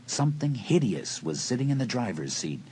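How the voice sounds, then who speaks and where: A man's voice: mid-range voice, very animated, brisk, mellow, slight background noise. A man narrating a suspenseful story as scripted audiobook narration. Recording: music and crowd behind narration.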